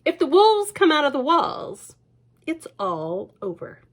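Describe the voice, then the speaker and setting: A woman's voice: sits high, very animated, a little slow, pitched above the performer's own voice. A woman doing a breezy, distracted storybook dad. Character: distracted, dismissive father.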